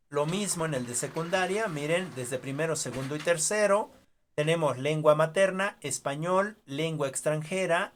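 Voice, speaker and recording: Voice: medium pitch, slight background noise. Mexican teacher lecturing over a video call. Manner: hesitant, many fillers and tag questions. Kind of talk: online class lecture.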